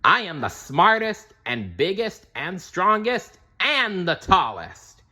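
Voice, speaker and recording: A man's voice: high voice, big pitch swings, takes it slowly, sharp tone, voice pushed up, full character voice. A man voicing a boastful mad doctor villain in a storybook, puffed up with pride, bragging and snarling. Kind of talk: read-aloud storybook character.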